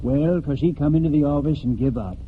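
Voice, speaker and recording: A man acting a kindly country judge in a 1940s radio play. He has a fairly high voice and a courteous, hesitant, folksy manner, heard through a muffled, noisy old broadcast. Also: brisk, natural voice. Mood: sheepish, kindly.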